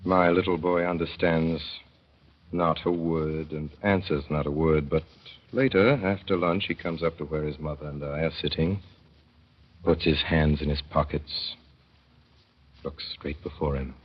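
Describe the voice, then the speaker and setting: A deep, husky male voice narrates a 1930s radio drama with measured, wry timing. The sound is muffled and lo-fi, like an old broadcast. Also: fairly even intonation. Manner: measured, wry storytelling with deliberate pauses. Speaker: narrator and lead performer.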